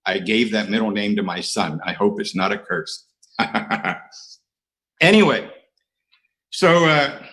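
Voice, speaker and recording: A middle-aged American man tells his recovery story with a dry, self-mocking wit. His voice is bright and forward, and his pitch sits in an ordinary middle range. He pauses often on "uh" between wry asides. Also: low-quality recording. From United States. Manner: self-mocking, dry humor, many uhs. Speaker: AA speaker. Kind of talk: recovery share talk. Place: online conference.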